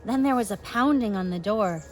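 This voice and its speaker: A woman teacher reading a storybook to pupils, expressive, with casual asides and questions to the young listeners. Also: medium pitch, moderate pace, a little hiss or hum. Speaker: elementary school teacher.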